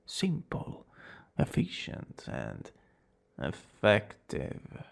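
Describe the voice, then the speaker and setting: Man acting a theatrical young villain, creaky and expressive. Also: lowish voice, full character voice. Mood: smug, menacing. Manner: dramatic, drawn-out boasting that slips into breathy whispers and flustered exclamations. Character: smug young male villain student, theatrical, secretly smitten and easily flustered. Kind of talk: ASMR romance roleplay acting. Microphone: close studio-style microphone.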